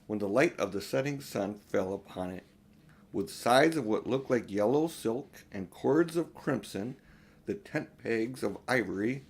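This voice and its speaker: Older man reading a children's storybook. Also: on the low side. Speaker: audiobook reader for children. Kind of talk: storybook narration read aloud.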